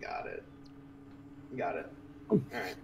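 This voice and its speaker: A man's voice: deep voice, huge pitch swings, slow pace, gravelly, a little hiss or hum. A man playing a tabletop game, describing his character's actions in a loose, hesitant, conversational way. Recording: roomy echo, distant mic, faint music.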